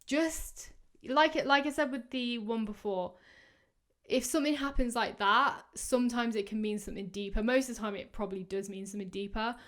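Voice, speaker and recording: A woman in her twenties hosting a podcast, giving casual, rambling advice full of fillers, her voice going hoarse. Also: a little high, fairly quick, fairly bright tone. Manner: chatty, rambling, many fillers. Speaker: podcast host. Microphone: podcast microphone.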